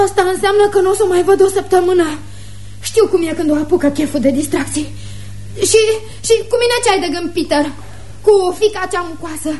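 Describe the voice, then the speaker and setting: A woman's voice: very high voice, a little mellow. An actress playing a resentful, cheeky teenage daughter in a radio play, with a girlish, pert, challenging delivery. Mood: resentful, demanding. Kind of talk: radio theatre acting.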